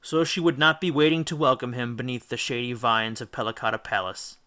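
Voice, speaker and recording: A man's voice: medium pitch, steady tone, a little fast, pushed and bright, clean tone. A man reading a children's audiobook as a dramatic storyteller. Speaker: audiobook reader. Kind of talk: dramatic scripted storytelling narration.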